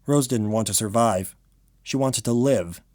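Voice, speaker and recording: A man's voice: mid-range voice, unhurried, darkish tone, dry recording, studio quality. A man reading scripted story narration for an audio drama.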